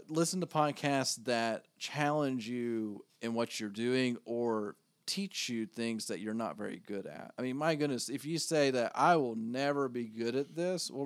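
A man co-hosting a podcast with a low-toned voice, talking in a measured, reflective way. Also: dry recording. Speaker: podcast co-host, wedding photographer. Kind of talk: casual podcast chat.

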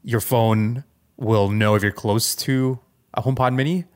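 A man's voice: medium pitch, steady tone, measured pace. A man co-hosting a casual tech podcast, thinking aloud in a tentative, conversational way. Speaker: podcast co-host.

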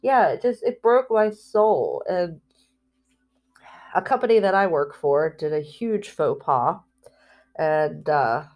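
A woman talking candidly in a personal spiritual vlog, rambling and heartfelt, with fillers, restarts and soft laughs between phrases. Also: deep voice, lively intonation, slow, long pauses. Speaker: spiritual vlogger.

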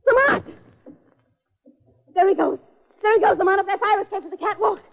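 An actress playing an anxious, devoted detective's companion with a husky voice in old radio drama style. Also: female voice, very high voice, fairly quick. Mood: alarmed. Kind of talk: 1940s radio drama acting. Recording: tinny, reverberant old radio recording.

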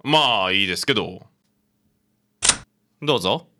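A man's voice: on the low side, lively intonation, unhurried, noisy background. A man playing an older, chatty apartment landlord with a Kansai accent in a radio drama. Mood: puzzled. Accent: Kansai Japanese. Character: old male apartment landlord, a chatty grandfather.

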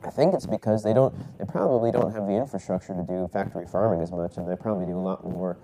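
A man's voice: low-pitched, fast talker, dark tone, slight background noise. Congested man rambling through a podcast. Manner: rambling, self-correcting, many fillers. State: congested, recovering from a cold, coughing. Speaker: podcast host. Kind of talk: casual solo podcast storytelling.

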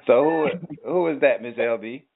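A man's voice: medium pitch, moderate pace, slight background noise. A man hosting a hip hop show, talking in a laid-back, friendly way full of slang. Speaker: podcast host. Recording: tinny phone-like line.

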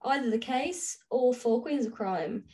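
A woman's voice: a little high, lively intonation, unhurried, warm tone. A woman chatting casually as co-host of a book podcast, playful and teasing. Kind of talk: casual unscripted book chat.

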